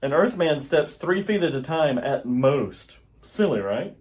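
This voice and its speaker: A somewhat high-pitched male scientist of a doomed world , acted by a man in retro radio-theater style. He is earnest and grave, declaiming with urgency as a devoted husband and father. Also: lively intonation, a little slow, darkish tone, a little hiss or hum. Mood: tender, earnest.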